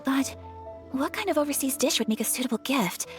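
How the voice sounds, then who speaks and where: A woman playing a polite, refined young noblewoman in a video game, gentle, earnest and formally courteous. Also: high voice, fairly quick, music in the background, close to an everyday voice.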